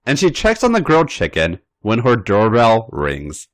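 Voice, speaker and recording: A man's voice: mid-range voice, huge pitch swings, normal speed, slight background noise. A man hosting a podcast, reading a story aloud in scripted narration. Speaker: podcast host and story reader.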